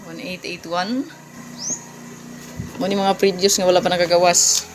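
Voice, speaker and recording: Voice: medium pitch, little pitch movement, measured pace. A vlogger with a Visayan Filipino accent explains things casually and informally, repeating key words and addressing viewers politely. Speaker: vlogger giving number tips. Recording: clearly noisy amateur recording.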